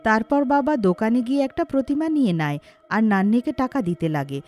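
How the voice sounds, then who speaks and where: A woman's voice: on the high side, animated, a little fast, darkish tone, soft background music. A woman narrating a scripted cartoon ghost story.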